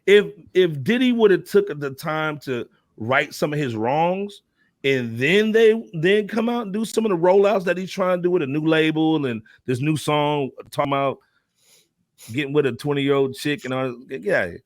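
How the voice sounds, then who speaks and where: Male panelist, medium-pitched voice, informal chat delivery, dry sound. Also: expressive, moderate pace, dry recording. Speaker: panelist on a hip hop discussion show. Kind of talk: casual chat.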